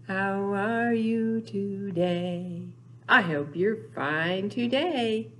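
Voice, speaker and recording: A woman's voice: medium pitch, drawn-out words, slight background noise. An older woman presenting storytime for small children, talking and reading aloud in short, simple phrases with playful sound words. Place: small indoor room, seen seated before a wall.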